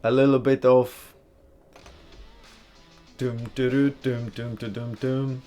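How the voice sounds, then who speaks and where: A man chats casually with viewers on a live stream, reading their comments aloud and answering them one by one. His voice sits at an ordinary middle pitch, and he talks in a relaxed, easygoing way with frank, blunt opinions. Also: takes it slowly, soft background music. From Poland. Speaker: livestream host.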